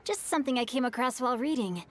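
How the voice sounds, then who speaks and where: A woman's voice: high-pitched, animated, fast, slight background noise. A woman voicing a polite, bookish young man in a video game, speaking in formal, thoughtful, storytelling phrases. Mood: measured, curious. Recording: game dialogue over background music. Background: game music.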